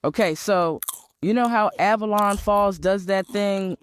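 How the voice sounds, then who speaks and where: A woman's voice: medium pitch, unhurried, slight background noise, close to an everyday voice. A woman playing an eager, earnest young amateur sleuth and superfan in an audio drama.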